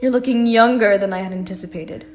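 A woman's voice: mid-range voice, fast, soft background music, close to an everyday voice. An actress playing a kindly, plain-spoken old grandmother in an audio drama. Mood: consoling, eager.

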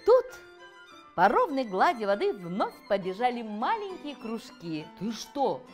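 A woman's voice: fairly high pitch, huge pitch swings, unhurried, soft background music. A middle-aged woman reading a fairy tale to children expressively. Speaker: author reading her own fairy tale. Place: furnished room with armchair and fireplace, indoors.

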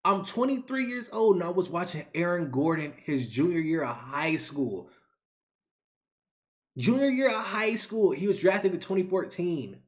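A man in his twenties, a YouTube creator, talking to camera in an animated, slangy rant, repeating himself, from a small bedroom on a webcam mic. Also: high voice, normal speed. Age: 20s. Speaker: YouTube sports reaction creator. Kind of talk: excited casual rant to camera.

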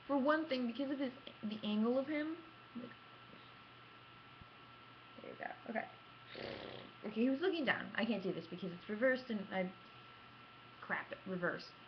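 A young adult woman chatting casually to camera as a gaming vlogger, with an animated, self-deprecating manner and dramatic emphasis. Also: medium pitch. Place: small room at home, indoors. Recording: muffled lo-fi webcam audio, background noise.

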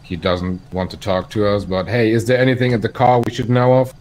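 A man's voice: lowish voice, flat delivery, fairly quick, darkish tone, a little hiss or hum, poor audio. A man chatting casually in character as a police officer in an online role-play game.

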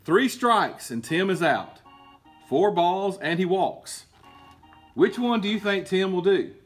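A middle-aged man reads to children like a coach giving a lesson. His voice is somewhat rough and swings expressively in pitch as he stresses and explains. Faint music sits behind him. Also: lively intonation, slow. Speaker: baseball coach and PE teacher. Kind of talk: children's picture-book read-aloud with explanations. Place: indoor school room with book shelves.

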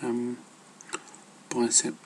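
A man's voice: medium pitch, monotone, extremely slow, a little mellow, a little hiss or hum. A man giving casual, rambling advice as an amateur fitness vlogger, hesitant and loosely worded.

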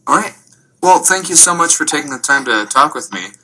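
A man conducting a course interview, asking polite, measured, scripted questions. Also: a little high, expressive, sharp tone. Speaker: interviewer, communication course instructor.

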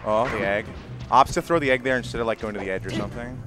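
A man with a naturally loud voice calling a live tournament match, quick to burst into excitement at big moments. Music plays clearly underneath him as he talks. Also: medium pitch. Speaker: esports commentator. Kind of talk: live play-by-play commentary. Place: tournament venue.

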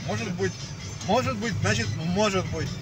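A man's voice: sits high, normal speed, far from the mic, music in the background. A man vlogging casually from a moving car, rambling and joking as he repeats himself. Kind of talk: casual rambling chat while driving. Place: inside a moving car at night. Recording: loud car engine and road noise.

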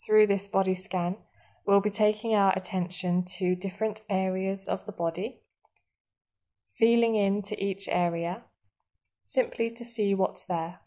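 A woman guiding a meditation in a soothing, measured manner, leaving long pauses between short phrases. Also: medium pitch, mellow. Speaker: meditation guide. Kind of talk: guided body scan meditation narration.

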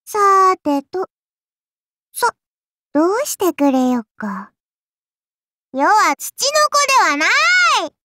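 A tiny, imperious oni godling for a game. The voice is very high and childlike, swinging widely in pitch at a slow drawl. She teases playfully in haughty, old-fashioned speech, in a dry, close sound. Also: big pitch swings, bright, forceful tone, no room sound, full character voice. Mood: haughty, amused. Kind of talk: game character voice lines.

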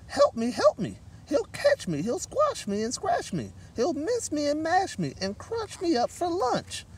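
A man voicing a frightened, tiny mother mouse, pleading in a squeaky voice for a storybook. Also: huge pitch swings, measured pace, a little mellow, full character voice. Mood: panicked, pleading. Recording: distant microphone, light outdoor noise.

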